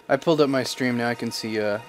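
A man casually analyzing a video game as he plays, on a livestream, chatty and digressive, thinking aloud. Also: mid-range voice. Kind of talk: casual commentary over gameplay. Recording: noisy game stream.

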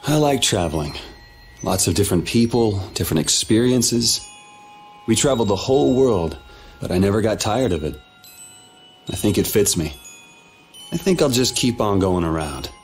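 A man's voice: lowish voice, lively intonation, normal speed, pauses often, a little hiss or hum. A man playing a laid-back, wry young wandering swordsman in a video game, with a casual, dry, understated delivery. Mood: relaxed.

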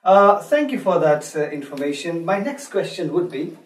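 A man's voice: on the high side, very animated, moderate pace. A middle-aged man whose first language is Gujarati, hosting a studio interview and talking hesitantly, with many filler sounds. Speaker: talk show host. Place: small studio in front of a pink backdrop. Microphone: desk mic on a stand. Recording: roomy sound from a distant mic.

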